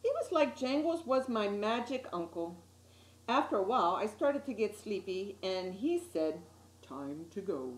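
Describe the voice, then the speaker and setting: Female children's story reader, mid-range voice, expressive and deliberate, recorded in a reverberant room. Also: mid-range voice. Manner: dramatic, deliberate storytelling with emphasised words. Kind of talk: expressive children's picture book reading. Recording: roomy, echoey sound.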